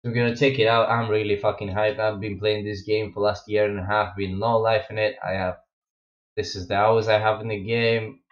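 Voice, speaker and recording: Young man, Russian accent, low voice, hesitant rambling streamer, roomy lo-fi headset sound. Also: level tone, moderate pace. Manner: rambling, hesitant, many fillers and restarts. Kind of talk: casual gaming commentary. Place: home gaming room. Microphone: wireless headset. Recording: echoey, dull lo-fi home mic.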